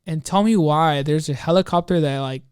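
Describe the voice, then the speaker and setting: Higher-voiced man telling a story, clean and dry. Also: normal speed, clean tone, no echo. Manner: excitable, rambling, peppered with slang and quoted asides. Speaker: podcast co-host telling a personal story. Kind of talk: casual chat, anecdote storytelling.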